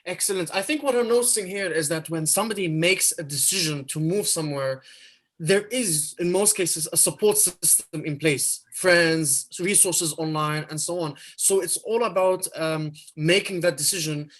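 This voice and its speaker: A man's voice: a little high, normal speed, a bit bright. A man moderating a live online panel, asking questions with many hesitant fillers.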